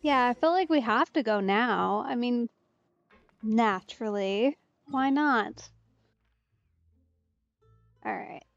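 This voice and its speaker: A woman streamer giving casual game commentary in a creaky voice, with a chatty, off-the-cuff delivery. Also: on the high side, slow pace, pauses often, dry recording. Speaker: let's play streamer. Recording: slightly dull mic, game music behind.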